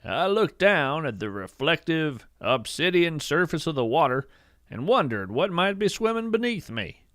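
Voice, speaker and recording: Man acting as earnest podcast naturalist, measured poetic narration, very lively intonation, dry studio sound, full of wonder. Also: on the high side, dry recording. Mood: hushed wonder. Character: awestruck bearded male naturalist who studies strange creatures. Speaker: host and narrator of a fiction podcast. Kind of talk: scripted first-person narration, storytelling.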